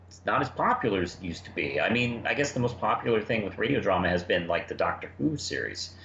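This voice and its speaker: A man chatting casually on a review discussion in a halting, rambling way, often repeating words. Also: on the low side, big pitch swings, gravelly, fairly bright tone. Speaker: podcast host and writer. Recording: muffled, roomy, noisy home recording.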